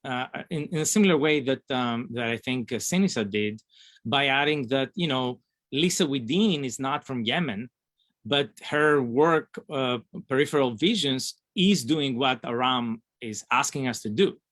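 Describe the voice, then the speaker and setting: A man's voice: mid-range voice, normal speed, fairly bright tone, no room sound. A man speaking as an academic panelist, thinking aloud in a hesitant, discursive way as he argues point by point. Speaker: academic panelist, board member of a scholarly association.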